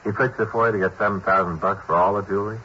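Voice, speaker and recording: An actor playing a terse, deadpan police sergeant in a 1950s radio crime drama, asking clipped, flat, businesslike questions. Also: male voice, on the low side, very fast. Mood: probing. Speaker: police detective. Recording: dull 1950s radio broadcast, faint hiss.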